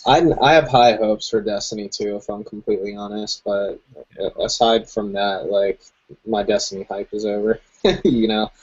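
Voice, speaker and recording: A man's voice: lowish voice, steady tone, moderate pace. A man hosting a casual gaming chat, chatty and easygoing, laughing often between his thoughts. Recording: dull lo-fi stream audio with noise.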